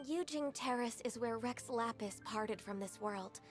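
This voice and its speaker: A gentle young emissary in a video game. The voice is fairly high, polite and hesitant, with a wistful, absent-minded air and music behind it. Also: female voice. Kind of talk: video game character acting.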